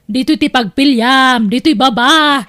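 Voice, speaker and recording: A high-pitched, bossy middle-aged aunt acted by a woman speaks with an Ilocano accent. She is breezy and thrifty, a family figure from an audio drama. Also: a little slow, pushed and bright.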